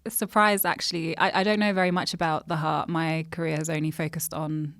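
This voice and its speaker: A woman hosts a science podcast, reading her guest's introduction and then chatting in an upbeat, enthusiastic way. Her voice is smooth and clear and sits a little low. The recording is dry and close, with no room sound. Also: little pitch movement, a little fast, a bit bright, no echo. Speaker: podcast host. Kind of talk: scripted intro then interview chat.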